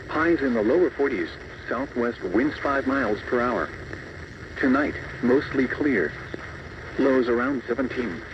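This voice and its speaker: A man's voice: medium pitch, distant mic. A synthetic male announcer voice reading a scripted weather bulletin in an impersonal way, in short clipped phrases. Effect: synthetic text-to-speech voice. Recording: muffled, noisy radio reception.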